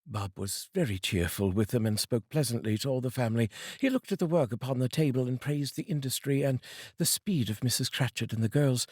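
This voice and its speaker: A man with a British accent reading a classic novel aloud as narrator, dramatic and expressive. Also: mid-range voice, slightly rough, no room sound, studio quality.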